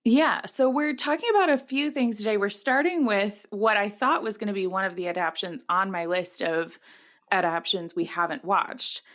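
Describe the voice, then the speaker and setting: A woman's voice: medium pitch, moderate pace, fairly bright tone. A woman co-hosting a podcast in casual chat, with a jokey, self-deprecating way of talking. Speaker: podcast co-host.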